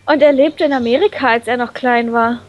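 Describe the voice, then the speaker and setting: High-voiced woman reading a visual novel. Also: fairly quick, muffled recording. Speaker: reader in a visual novel let's play. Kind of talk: expressive script reading with casual banter. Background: background game music.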